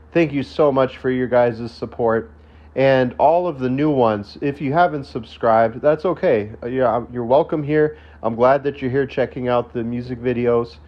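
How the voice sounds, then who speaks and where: A man's voice: mid-range voice, highs cut off. A man hosting his own channel, talking casually while stuffed up from a sinus infection, with coughs and lots of fillers and restarts. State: coughing, throat not fully recovered. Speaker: channel host. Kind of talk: casual solo video talk.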